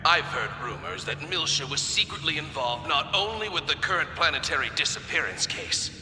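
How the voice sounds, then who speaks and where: Smug senator, piercingly sharp voice. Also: male voice, on the high side, sing-song, moderate pace, slight background noise, full character voice. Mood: smug, insinuating. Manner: condescending, insinuating. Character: smug insinuating male senator. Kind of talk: video game cutscene acting. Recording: echoey chamber with long reverb.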